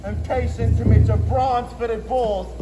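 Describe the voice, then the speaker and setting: Man as stage-play herald, high voice, formal, declamatory, dull muffled recording, loud music. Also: steady tone, unhurried. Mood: proud challenge. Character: formal herald speaking for his leader. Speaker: student actor in a school competition play. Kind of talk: theatrical stage acting.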